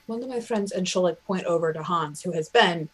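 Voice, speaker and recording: A woman narrating her character's actions in a casual, halting way during a tabletop roleplaying game. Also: fairly low pitch.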